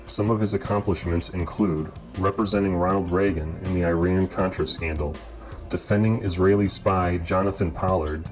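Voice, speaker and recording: A man reading scripted documentary narration in a measured, formal way, as the narrator. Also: deep voice, poor audio. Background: guitar music.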